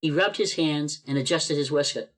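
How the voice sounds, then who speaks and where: A man narrating an amateur radio play with expressive storytelling, read over a video call. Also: on the high side, moderate pace.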